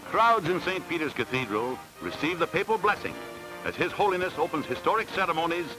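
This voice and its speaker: A man narrates a 1940s newsreel in a dramatic, formal announcer voice. His pitch sits high and swings widely. Loud orchestral music plays under him on an old film soundtrack. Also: lively intonation, fairly quick, poor audio. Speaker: newsreel commentator.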